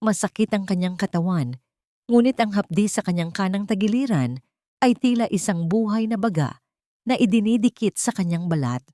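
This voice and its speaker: A woman reading a scripted melodramatic story in a solemn, measured way. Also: mid-range voice, expressive, mellow, dry recording, studio quality. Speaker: story narrator. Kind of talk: dramatic scripted narration of a melodrama.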